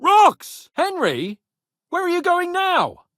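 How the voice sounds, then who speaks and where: An actor playing a haughty, brash young medieval nobleman in a video game, cocky and teasing, witty, hot-tempered and quick to swear. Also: extremely high pitch, wildly swooping pitch, fairly bright tone, no room sound, studio quality, heavily acted. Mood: exasperated, urgent. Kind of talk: dramatic video game voice acting.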